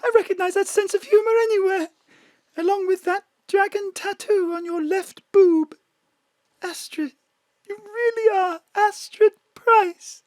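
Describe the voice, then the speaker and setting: A performer voicing a very high-pitched, tearful glamorous star. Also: female voice, fairly even intonation, a little slow, darkish tone, no room sound. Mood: knowing. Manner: suspicious and tense. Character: woman disguised as a glamorous star, wary and tearful. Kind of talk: audio drama acting.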